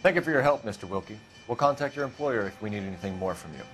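A man's voice: on the low side, expressive, fast talker, a bit bright, soft background music. A man acting as a composed, firm 1940s police detective in a video game, with a formal, clipped way of questioning. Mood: polite, businesslike.

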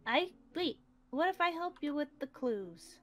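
Lively, slow-talking playful woman. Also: high voice. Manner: playful, upbeat, teasing. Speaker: roleplay series player. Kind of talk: in-character roleplay chat.

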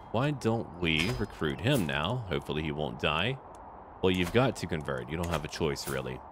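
A man's voice: low-pitched, fairly bright tone, slight background noise. A man giving casual commentary over a strategy game, talking to himself in short remarks and reading bits aloud. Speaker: gaming video host. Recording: music behind the voice. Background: background music.

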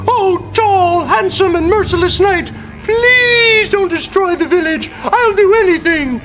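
A man voicing a pleading, melodramatic village maiden in falsetto for a comic game scene. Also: unhurried, over music, rough recording.

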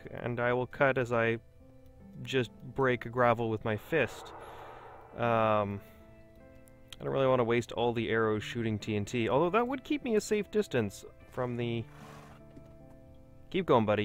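A man casually commenting on his own gameplay, chatting to himself and explaining as he plays. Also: mid-range voice. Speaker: gaming video host.

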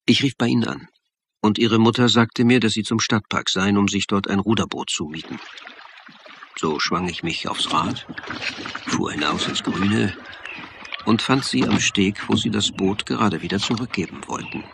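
An actor playing a shrewd, insistent college lecturer who narrates and acts in a German radio crime play, speaking deliberately and articulately. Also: male voice, fairly low pitch, creaky, background noise. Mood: reflective narration. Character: male college lecturer turned amateur sleuth, shrewd, insistent. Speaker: narrator and lead character.

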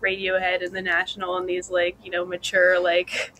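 A woman's voice: fairly low pitch, completely flat delivery, normal speed, bright, forceful tone, low-quality recording. A young woman musician chatting informally in an interview, often saying "like". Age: young adult. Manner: informal, peppered with "like". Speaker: band guitarist, interview guest. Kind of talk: casual chat.

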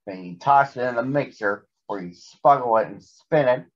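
A man's voice: mid-range voice, expressive, moderate pace, lo-fi sound, lightly acted. A man voicing a smug, chatty male storybook monster who gabs breezily and is devoted to his mother. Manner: gabbing and jabbering, breezily dismissive.

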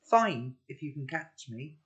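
A woman's voice: low voice, sing-song, slow, exaggerated voice. An older woman reading the lines of a guarded, stern teenage boy, curt and commanding.

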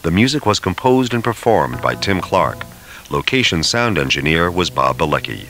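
A man's voice: low-pitched, very animated, normal speed, a bit bright. A man reading radio drama credits as a scripted announcer.

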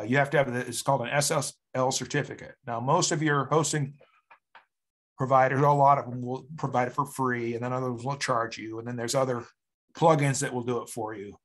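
A man presenting an informal software tutorial at a meetup, rambling and plain-spoken, often repeating words as he goes, with an encouraging way of talking. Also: mid-range voice.

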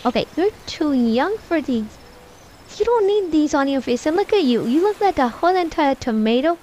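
A woman as the bossy, dramatic young mom in a homemade dollhouse cartoon roleplay, high voice over faint noise. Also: expressive, slow. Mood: scolding. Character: bossy, disapproving young mom of a little girl. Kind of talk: amateur voiced family roleplay.

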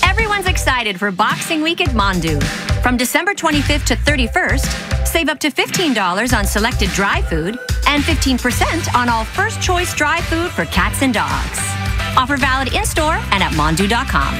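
High, lively woman ad announcer. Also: normal speed. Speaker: commercial announcer. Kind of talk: scripted retail ad read. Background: music.

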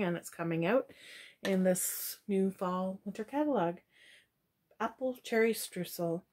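A middle-aged woman talks casually to her webcam in a small, dim room at home. Her chat is relaxed and rambling, with a tired, slowing-down feel as she gives her opinions one after another. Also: mid-range voice. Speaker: product reviewer. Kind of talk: casual product review chat.

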